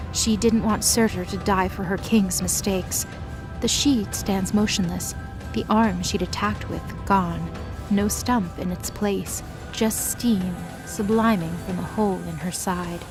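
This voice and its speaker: A woman giving dramatic scripted narration for a mythology audio story. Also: mid-range voice.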